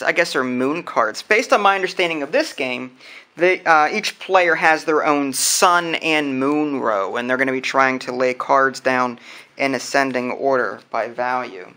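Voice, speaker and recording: A man's voice: mid-range voice, creaky, bright, forceful tone. A man hosting an unboxing video, chatting casually and thinking aloud as he handles things. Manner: informal, thinking aloud while handling items. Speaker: host of a board game unboxing channel. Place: indoors at a wooden table.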